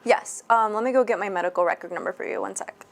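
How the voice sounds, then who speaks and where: A woman's voice: mid-range voice, quick pace, gravelly, a little hiss or hum, close to an everyday voice. A woman playing a polite, somewhat hesitant nonbinary patient in a scripted training call. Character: polite nonbinary patient phoning to book an appointment. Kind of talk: scripted training role-play phone call.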